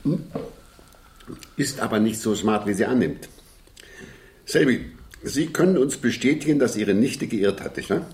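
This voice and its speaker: A man's voice: fairly low pitch, animated, a little slow, pauses often, darkish tone, recorded from a distance. An actor playing a brusque, sardonic man in authority in a 1970s German radio crime play, giving curt orders. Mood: curt, sceptical.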